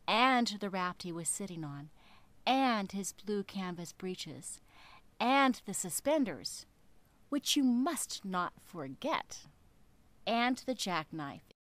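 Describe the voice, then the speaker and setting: A woman narrating a children's story expressively, with a deliberate, rhythmic, dramatic delivery. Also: medium pitch, a bit bright, dry recording.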